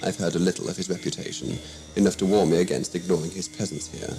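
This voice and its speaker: Deep, suave scheming villain, played by a man. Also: steady tone, vocal fry, music in the background. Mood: calculating. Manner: measured, smug, theatrical. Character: scheming, suave, condescending male renegade Time Lord villain. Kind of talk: audio drama acting.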